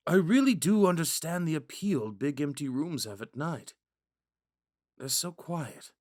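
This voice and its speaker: A man playing a kind, shy young prince in a romantic ASMR roleplay, speaking gently and hesitantly. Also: a little high, dry recording, clean studio sound. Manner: soft-spoken, hesitant, stammering when flustered. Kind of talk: ASMR roleplay, scripted monologue to a listener.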